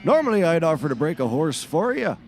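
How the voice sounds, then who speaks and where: A man's voice: fairly high pitch, very animated, a little fast, soft background music. An actor playing a droll, folksy livestock auctioneer in a scripted comedy, with a dry, wry showman's delivery. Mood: dry mockery.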